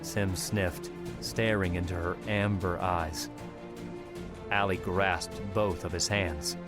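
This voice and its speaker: A man narrating an audiobook in a steady, measured storytelling voice. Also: low-pitched. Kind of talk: scripted narration. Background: music.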